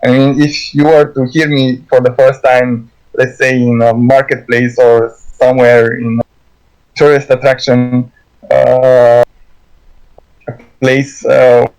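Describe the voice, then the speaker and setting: A man with a Romanian accent speaks at a middling pitch as a guest in a casual conversation. He halts often, stuttering and restarting as he searches for the words. His first language is Romanian. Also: level tone, a little mellow, poor audio. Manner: halting, stuttering with many restarts. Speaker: guest artist.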